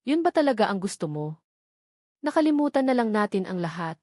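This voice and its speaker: Woman plays troubled young man in a romance audio drama, soft and imploring, dry recording. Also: medium pitch, sing-song, fairly quick, no room sound. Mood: pleading, hurt. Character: earnest, troubled young man pleading with his sister.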